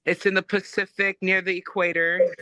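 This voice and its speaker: A woman's voice: fairly low pitch, level tone, normal speed, bright, forceful tone, no echo, poor audio. A woman from Samoa hosting a casual podcast talk, effusive and spiritual, with lots of fillers. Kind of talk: casual conversation.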